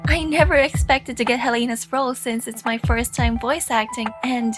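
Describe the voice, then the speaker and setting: A woman speaks in a high, friendly voice, reading a message of thanks to players. Music plays clearly underneath her. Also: fairly even intonation, moderate pace. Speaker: voice actress giving a closing message. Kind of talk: read-out thank-you message.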